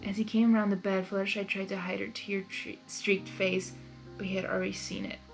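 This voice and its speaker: Female story reader, mid-range voice, expressive and informal, chatty reactions, music underneath. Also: medium pitch, normal speed, vocal fry, poor audio. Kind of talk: expressive fan fiction read-aloud with chatty asides.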